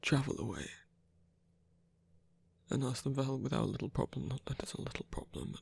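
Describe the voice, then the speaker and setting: Man acting a breathy, gravelly, weary rebel villain. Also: mid-range voice, animated, darkish tone, heavily acted. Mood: amused disbelief. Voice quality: breathy, gravelly, often near a whisper. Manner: hesitant, halting, murmured with pauses. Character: weary, soft-spoken male rebel villain, tenderly teasing lover. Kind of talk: intimate ASMR roleplay audio drama.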